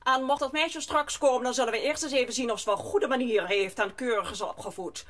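An actress playing a strict, stiff governess in an audio drama, with a stern, haughty, commanding manner. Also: female voice, on the high side, fast, natural voice. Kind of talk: Dutch audio drama acting.

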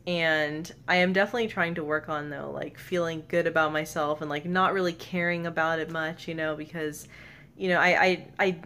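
A woman's voice: deep voice, fairly even intonation, normal speed, a bit bright. A young woman vlogging to camera from her bedroom, speaking earnestly and openly with a stutter, repeating sounds and stalling on words. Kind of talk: personal vlog talk to camera. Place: bedroom, indoors. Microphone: camera close in front.

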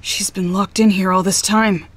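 A woman voicing a spirited, stubborn, kind-hearted young ninja hero in an anime game dub, earnest and expressive. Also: mid-range voice, moderate pace, dry recording, lightly acted. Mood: determined, earnest.

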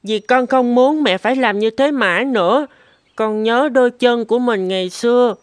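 A woman's voice: on the high side, moderate pace, no echo, lightly acted. A woman voicing an earnest, determined seven-year-old boy in a cartoon. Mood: wistful. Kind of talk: Vietnamese cartoon voice acting.

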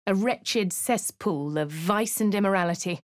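A woman with a posh English accent giving refined, measured first-person narration for a game character. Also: mid-range voice, no room sound. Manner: refined, measured, clipped delivery. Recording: dry studio voice-over.

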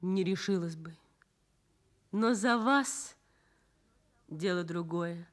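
An actress playing a tender, devoted young nurse in love in a Soviet radio drama, speaking softly and earnestly. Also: female voice, fairly low pitch, takes it slowly.

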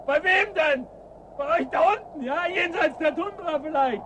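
An actor playing a gruff, suspicious man in a radio play, sardonic and brusque. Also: normal speed. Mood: bitterly mocking. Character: gruff, mocking, suspicious man in an icy wasteland. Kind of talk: German radio play acting. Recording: loud rumbling noise over the voice. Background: loud rumbling ambience.

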